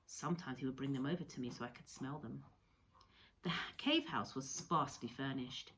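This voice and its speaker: A woman reading a storybook aloud like a teacher to pupils, in a measured, expressive storytelling manner. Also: a bass voice. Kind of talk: read-aloud storybook narration.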